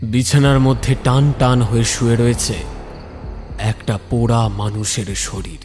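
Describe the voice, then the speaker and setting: A man's voice: medium pitch, flat delivery, measured pace, a little mellow, faint music behind. A man narrating a scripted ghost story dramatically, building suspense phrase by phrase.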